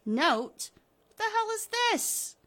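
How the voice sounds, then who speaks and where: A woman voicing a drunken, sneering, vitriolic wife from a horror comic, with mocking, gleeful jabs and shrill outbursts. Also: expressive, measured pace, a bit bright. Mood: irritated shock. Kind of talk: dramatic comic-book reading.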